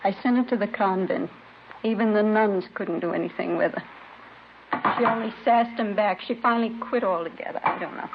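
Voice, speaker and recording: A woman's voice: mid-range voice, a little fast, close to an everyday voice. An actress playing a weary, bitter middle-aged mother ashamed of her daughter, in vintage radio drama style with resigned complaining. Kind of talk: 1950s radio drama acting. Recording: noisy, muffled vintage radio recording.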